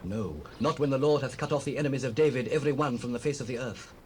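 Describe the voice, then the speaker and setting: An actor playing a loyal young prince, solemn and reassuring, declaiming like one swearing an oath in a scripture audio drama. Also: male voice, medium pitch, fast, darkish tone, lightly acted. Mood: solemn vow. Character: young prince Jonathan, loyal, devoted friend. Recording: noisy cassette rip, distant ambience.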